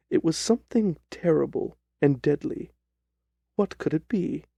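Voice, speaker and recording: A man narrating a first-person story from a script in a measured, literary delivery. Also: a little high, vocal fry, dark tone, no echo. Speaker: audiobook narrator.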